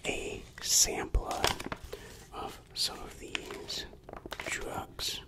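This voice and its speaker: Someone whispering in a breathy, hushed and confiding way, performing an ASMR roleplay as a shady dealer, close to the microphone. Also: extremely slow.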